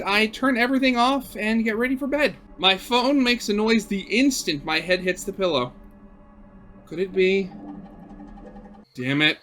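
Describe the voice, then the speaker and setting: High-pitched man doing a let's play. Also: fairly bright tone. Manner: alternates narrator-like reading with offhand, sarcastic asides. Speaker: gaming video host. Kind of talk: let's play, reading visual novel text aloud with casual commentary.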